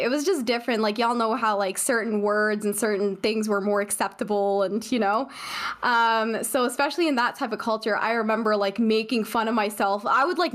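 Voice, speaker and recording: A woman's voice: a little high, fairly bright tone. A young woman from Canada, a guest on a streaming podcast, talking casually and rambling with many fillers and restarts.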